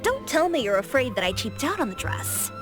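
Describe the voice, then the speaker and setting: Cool, businesslike fashion designer. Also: female voice, high-pitched, very animated. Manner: cool, measured, slightly dry. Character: poised adult female fashion designer, businesslike and proud. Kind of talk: game dialogue. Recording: game voice line over music. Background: game soundtrack music.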